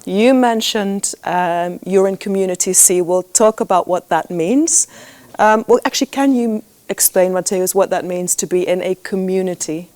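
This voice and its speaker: A woman of middle pitch runs a round-table discussion as a coach. She prompts each student with short questions and often pauses on "um" and "uh". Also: a little mellow. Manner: friendly, prompting questions with fillers.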